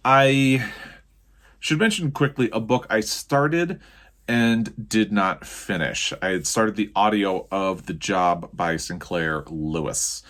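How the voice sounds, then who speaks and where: A man's voice: deep voice, fairly bright tone. An American man talking casually as a book vlogger about his reading, rambling and often correcting himself as he goes.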